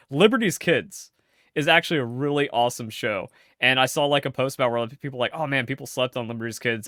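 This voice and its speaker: A young man co-hosting a casual podcast, ranting in bursts with lots of pitch jumps. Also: dry recording, clean studio sound. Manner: animated, pitch jumps when fired up. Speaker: podcast co-host. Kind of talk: casual political chat.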